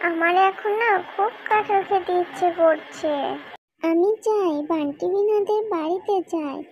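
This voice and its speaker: A woman's voice: very high voice, measured pace, lo-fi sound, slight background noise. A woman voicing a young fox girl in a children's cartoon, eager and wheedling in a childlike way. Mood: eager, wistful. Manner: wheedling, repetitive pleading. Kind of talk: children's cartoon story voice acting.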